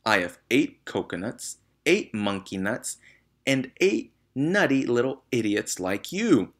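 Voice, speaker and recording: A thunderous storybook headmistress, acted out by a man. She barks and shouts like a tyrant, full of scorn and menace for those beneath her. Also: mid-range voice, very animated, slow, voice pushed up, full character voice. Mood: furious. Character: bullying, thunderous headmistress, a huge tyrannical woman.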